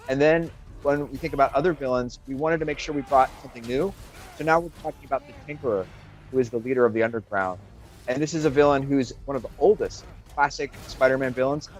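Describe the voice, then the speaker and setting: A man explains game design in a clear, organized way during a live interview. His pitch is in a middle range, with faint music under him. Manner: articulate, explanatory. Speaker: game developer. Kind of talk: interview answers.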